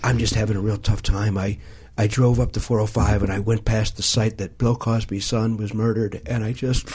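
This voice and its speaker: A man's voice: lowish voice, normal speed, husky. A man hosting a podcast, chatting casually and telling comic stories, laughing often. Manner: animated storyteller, laughs a lot. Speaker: podcast host. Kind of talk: casual comedic chat. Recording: noisy podcast recording.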